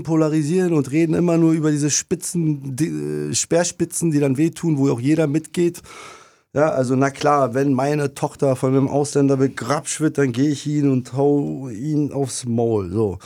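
A man's voice: fairly high pitch, moderate pace, mellow, no echo, studio quality. A man born in Germany, talking emphatically in a casual discussion. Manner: emphatic, laughs while ranting.

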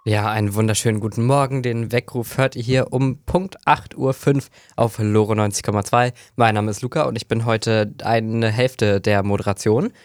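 A man's voice: on the low side, fairly even intonation, normal speed, hardly any pauses. A young man co-hosting a morning radio show, opening cheerfully and chatting playfully in the studio. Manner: upbeat, playful, enthusiastic. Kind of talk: casual radio chat.

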